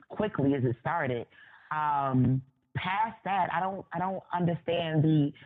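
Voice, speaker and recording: A woman interview guest giving her opinions conversationally, repeating phrases for emphasis. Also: low voice. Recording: thin phone-like line.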